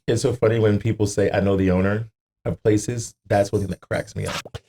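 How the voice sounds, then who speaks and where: Over slight background noise, a man with a lowish voice chats as a podcast guest. He is casual and emphatic, repeating phrases and swearing freely. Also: flat delivery, brisk, warm tone.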